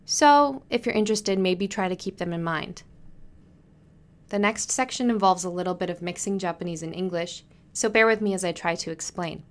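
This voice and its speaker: A woman hosting a podcast lesson on Japanese business culture. She speaks in a clear, friendly teaching manner and says the Japanese phrases slowly and carefully. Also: on the low side.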